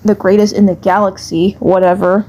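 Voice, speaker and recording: A woman's voice: medium pitch, little pitch movement, moderate pace, mellow, a little hiss or hum, pitched below the performer's own voice, lightly acted, rough recording. A woman voicing a sceptical, unimpressed cartoon boy with a dry, doubtful delivery in an amateur fandub.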